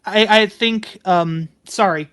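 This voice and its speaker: A woman playing a tabletop game, talking casually and haltingly as she describes her character and repeats phrases. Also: medium pitch, a bit bright. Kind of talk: casual roleplay table talk.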